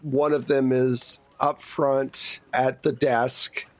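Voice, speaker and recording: A man's voice: mid-range voice, flat delivery, takes it slowly, no echo. A man casually narrating a tabletop game as game master in a joking, easygoing way.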